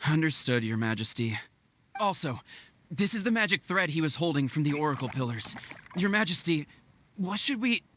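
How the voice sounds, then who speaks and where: An actor playing an earnest, excitable royal guard in a fantasy video game, deferential and dramatic. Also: medium pitch, moderate pace, slight background noise. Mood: dutiful.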